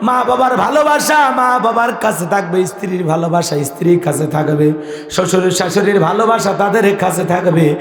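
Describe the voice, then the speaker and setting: Bangladeshi guy preaching islamic stories, high voice, really impassioned, calls out to listeners, big echoey reverb. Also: moderate pace. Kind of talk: emotive storytelling sermon to a gathering. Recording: echoey hall sound, long reverb.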